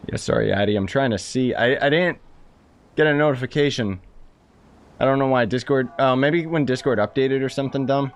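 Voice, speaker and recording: A man's voice: medium pitch, fairly quick, clean tone. A man streaming a video game, chatting in an informal, offhand way. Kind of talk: casual live stream commentary. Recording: faint game music behind the voice.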